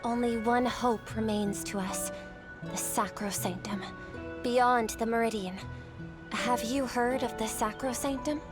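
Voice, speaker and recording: A woman playing a young noblewoman in a game cutscene, with a high, articulate voice. She is gracious, earnest and formal in her phrasing. Music plays clearly behind her. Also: steady tone, measured pace, close to an everyday voice. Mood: grave, sorrowful. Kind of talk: video game cutscene acting.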